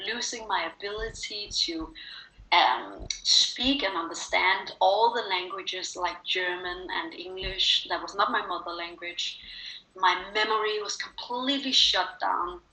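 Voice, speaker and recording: A woman with a Danish accent speaks in a bright, forward voice as she shares a personal story in an interview. Her delivery is earnest and emphatic, often repeating words for stress. The room adds a noticeable echo. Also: mid-range voice. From Denmark. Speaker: interview guest, wellness speaker and coach.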